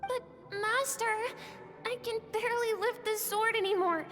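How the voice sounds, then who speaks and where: A woman's voice: very high voice, moderate pace, a bit bright, long reverb tail, soft background music. Worn-out young apprentice girl. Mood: pleading. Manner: pleading, strained.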